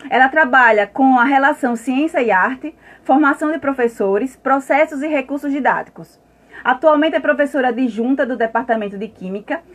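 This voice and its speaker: A Brazilian woman with a high-pitched voice hosts an academic live stream. She talks informally and directly to her viewers, sometimes reading from prepared text. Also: a bit bright.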